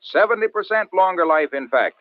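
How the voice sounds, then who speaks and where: A cheerful, boastful radio salesman of the mid-century. His voice is fairly high and very lively. The sound is tinny, like an old broadcast. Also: fairly quick, dry recording. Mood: upbeat selling. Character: eager, boastful battery pitchman, cheerfully polished. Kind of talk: 1940s-50s radio commercial patter. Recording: tinny, dry vintage radio recording.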